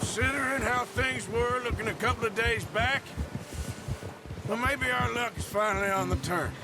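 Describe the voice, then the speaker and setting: A man's voice: very high voice, moderate pace, background noise. An actor playing a seasoned, tough outlaw gunslinger in a video game, a man with a dry, plainspoken American Western drawl. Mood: dry, weary. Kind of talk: video game dramatic dialogue.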